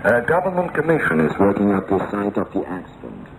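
A man's voice: lowish voice, huge pitch swings, fairly quick. A man reading a formal scripted radio news bulletin as a newsreader on 1980s shortwave. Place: radio studio, heard over shortwave. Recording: noisy band-limited 1980s shortwave radio.

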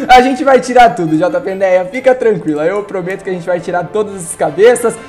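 Excitable young Paulista gamer with a cold. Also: male voice, high voice, a little fast, faint music behind. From Brazil. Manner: excitable, chatty, addresses viewers. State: congested from a cold. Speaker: gaming YouTuber. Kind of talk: playful gameplay commentary.

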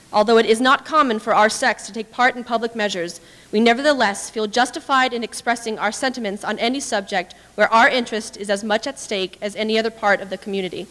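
A young woman giving an earnest, measured reading of a historical petition at a podium microphone. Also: a little high, fairly bright tone. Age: young adult. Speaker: dramatic reader. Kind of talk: formal read-aloud of historical petition. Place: indoor hall with brick walls, small audience. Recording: reverberant hall.

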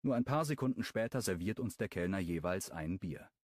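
A man narrating a romance audiobook in the first person, with an expressive storytelling delivery. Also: low-pitched, brisk, dry recording, studio quality.

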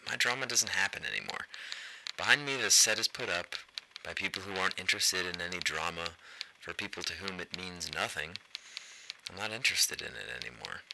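Radio drama: actor as grim, self-loathing man, declamatory, piercingly bright low voice, faint noise. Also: heavily acted, rough recording. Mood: bleak, weary. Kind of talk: radio play monologue.

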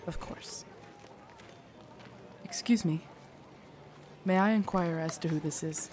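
A woman playing a loyal, gentle royal knight in an audio roleplay, formal, deferential and soothing in her measured speech. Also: on the low side, slight background noise. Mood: polite, hushed. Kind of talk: immersive audio roleplay acting.

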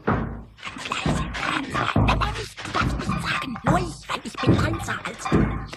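A man playing a tiny mischievous male kobold in a children's radio play, childlike and squeaky, stammering and repeating himself excitedly. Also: unhurried, loud music behind the voice, full character voice. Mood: sneaky, excited. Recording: noisy 1970s vinyl radio play.

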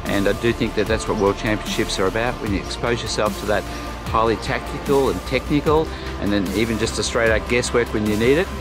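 A man interviewed outdoors at a marina, quick and enthusiastic. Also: fairly low pitch. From Australia. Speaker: sailor. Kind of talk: interview answer. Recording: music over outdoor interview.

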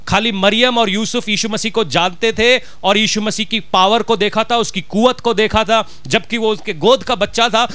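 A middle-aged pastor with a Punjabi accent preaches into a handheld mic, his voice high-pitched and smooth. He presses his points with rhetorical questions and drops Punjabi words into the sermon. The sound is close and dry, with little room around it. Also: pushed and bright, no echo. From Punjab, India. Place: church stage, indoors.

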